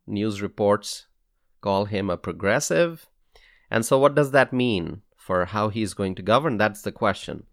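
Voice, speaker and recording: A man with a Bangladeshi accent hosting a solo political podcast, speaking in a deliberate, emphatic way and repeating phrases for weight. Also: on the low side, fairly bright tone, no room sound, clean studio sound.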